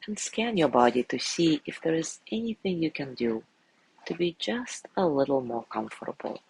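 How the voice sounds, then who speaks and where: Man, sleep meditation guide, husky, creaky low-energy delivery, soft, soothing, unhurried. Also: sing-song, rough recording. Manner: gentle, unhurried phrasing with long pauses.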